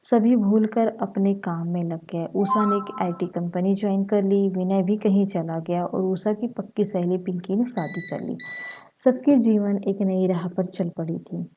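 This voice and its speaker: A woman with a slightly husky voice, narrating a short story. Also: medium pitch, steady tone, measured pace, lo-fi sound, noisy background.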